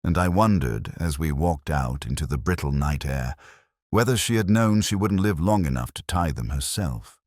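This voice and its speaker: A man narrating a scripted first-person detective story in a measured, brooding, literary manner. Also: extremely low pitch, dry recording, studio quality.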